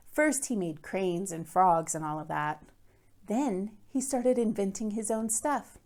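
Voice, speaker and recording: Woman reading as an earnest, expressive middle-school boy narrator. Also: lowish voice, vocal fry. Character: earnest, fretful middle-school boy telling his case. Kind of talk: first-person children's book read aloud.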